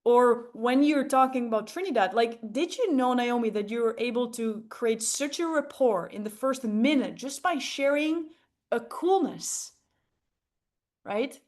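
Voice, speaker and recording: A woman coaching a group on public speaking, enthusiastic and emphatic, often checking in with rhetorical questions. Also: high-pitched. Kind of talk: interactive group coaching talk.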